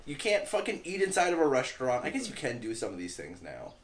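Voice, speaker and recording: A man's voice: medium pitch, wildly swooping pitch, quick pace, a little hiss or hum. A young man co-hosting a casual podcast, sounding congested with a dry cough, rambling through crude jokes. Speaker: podcast co-host.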